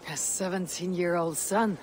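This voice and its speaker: A woman playing a blunt, steady Viking warrior in a video game, with a low, husky voice and terse, self-assured delivery. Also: moderate pace, close to an everyday voice. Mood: warm, curious. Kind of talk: video game cutscene acting. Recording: outdoor ambience noise behind the voice.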